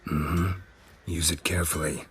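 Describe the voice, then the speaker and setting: An actor playing a gruff, laconic monster-hunting swordsman in a fantasy game, terse and deadpan with a rasping voice. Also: measured pace, slight background noise. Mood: dry, matter-of-fact. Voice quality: gravelly, rasping low voice. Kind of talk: fantasy video game acting.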